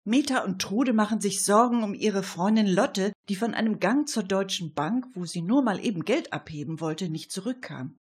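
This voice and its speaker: A woman's voice: mid-range voice, lively intonation, normal speed, few pauses, husky. A woman presenting and narrating a scripted radio story.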